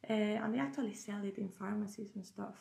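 Gravelly-voiced Chilean woman, laughing often. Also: mid-range voice, fairly even intonation, fairly quick, dark tone. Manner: laughs often, hesitant fillers. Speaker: guest, flower essence therapist. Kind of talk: casual interview chat.

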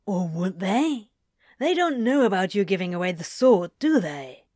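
A woman's voice: mid-range voice, big pitch swings, normal speed, darkish tone, no room sound, studio quality. A woman voicing a casual, teasing male demon who coaxes and tempts, in a story reading. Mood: coaxing.

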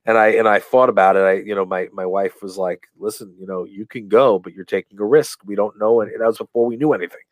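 Male guest, low booming voice, rambling storyteller, dry close sound. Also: fairly even intonation, quick pace, hardly any pauses, no room sound. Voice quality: big booming voice. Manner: rambling, many fillers and restarts. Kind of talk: casual interview storytelling.

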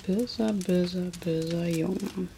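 A woman voicing a teasing, predatory female vampire kidnapper in an ASMR roleplay, whispering softly and flirting close to the mic. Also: lowish voice, fairly even intonation, slow pace, gravelly, noisy background. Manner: soft whispering, playful and flirtatious. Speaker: ASMR creator.

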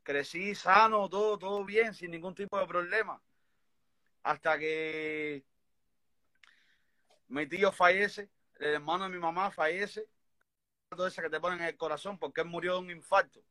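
A man in his thirties with a Cuban accent tells his own story in a high, bright voice. He talks earnestly, circling back and restarting his sentences often. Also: steady tone, moderate pace, pauses often. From Cuba. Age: 30s. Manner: earnest, rambling, many restarts. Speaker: interview guest. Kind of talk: personal story in conversation.

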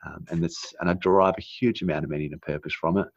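Very low-voiced man, dry and dull sound. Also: steady tone, gravelly, no echo. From New Zealand, raised in Australia. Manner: earnest, halting, many fillers and restarts. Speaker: guest, naturopath. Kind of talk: casual interview chat.

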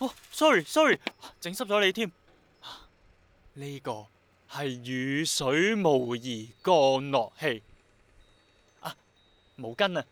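A man's voice: on the high side, very animated, slow, pauses often, fairly bright tone, exaggerated voice. An actor playing an eager, earnest, insistent young man in a radio drama, with a Hong Kong accent. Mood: proud, eager. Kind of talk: Cantonese radio drama acting.

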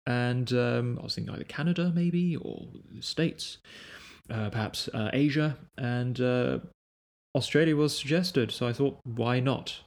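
A man in his twenties from the UK, hosting a casual podcast chat in a measured, unhurried way. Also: medium pitch. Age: 20s. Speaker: podcast host.

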